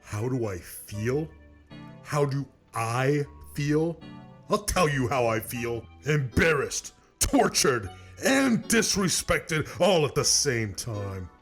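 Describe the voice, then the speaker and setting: A man playing a vain, cowardly, excitable cartoon character with a theatrical, whiny and boastful delivery. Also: mid-range voice, slow, soft background music. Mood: aggrieved. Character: vain, cowardly, excitable man who insults his rival. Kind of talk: comedic cartoon voice acting.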